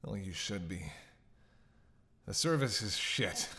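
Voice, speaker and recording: Slow, snarky captive male vampire played by an actor. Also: fairly low pitch, expressive, bright, forceful tone, heavily acted. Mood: mocking. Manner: sarcastic, teasing, theatrical, drawling. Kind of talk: roleplay audio drama acting.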